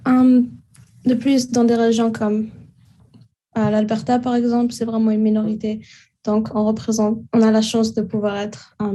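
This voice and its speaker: A teenage girl, a high school student, speaking in a steady, measured way with occasional fillers. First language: French and Arabic household. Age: teens. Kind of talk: spoken testimony.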